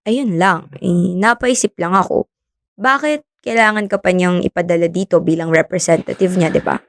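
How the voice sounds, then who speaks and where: An actress playing a bubbly, earnest young marine scientist in a radio drama. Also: female voice, on the low side, moderate pace, no echo, clean studio sound, natural voice. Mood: curious. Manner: expressive, friendly teasing, earnest when explaining. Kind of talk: conversational radio drama acting.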